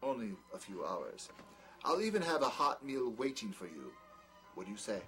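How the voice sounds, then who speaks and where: Man acting a haughty doctor with animated pitch. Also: moderate pace, faint music behind. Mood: smooth, persuasive. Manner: formal, wordy, haughty. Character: peculiar, condescending male doctor, smoothly charming yet scornful. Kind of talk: dramatic dialogue in an audio play or table reading.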